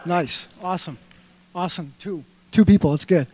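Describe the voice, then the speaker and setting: A man with a Boston accent giving a lively stage talk, firing questions at the audience with plenty of fillers. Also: sits high, normal speed, slightly rough. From Massachusetts. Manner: punchy, rhetorical questions to the crowd, filler words. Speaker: speaker at a live talk event. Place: indoor event hall.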